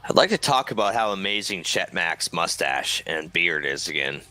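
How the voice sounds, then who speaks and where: A man's voice: deep voice, sing-song, moderate pace, sharp tone. A man co-commentating an online drone race, wisecracking and teasing between calls. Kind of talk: joking esports commentary.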